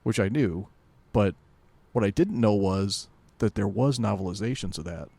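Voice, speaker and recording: A man co-hosting a podcast in casual pop-culture chat, halting and rambling as he corrects himself. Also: on the low side, creaky, mellow, breathy edge, no echo. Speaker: podcast co-host.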